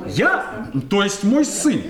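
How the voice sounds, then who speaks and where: Flustered boy faking being a dad, very high, stammering, roomy room with crowd noise. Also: wildly swooping pitch, takes it slowly, heavily acted. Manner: stammering, correcting himself. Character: flustered schoolboy posing as his own father. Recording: roomy, with a crowd of voices behind.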